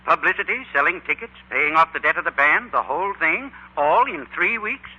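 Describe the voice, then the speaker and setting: An actor playing a measured, formal, kindly but skeptical older principal in a 1940s radio drama. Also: male voice, a little high, expressive, pushed and bright, heavily acted. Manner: deliberate, formal, avuncular. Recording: muffled 1940s radio recording.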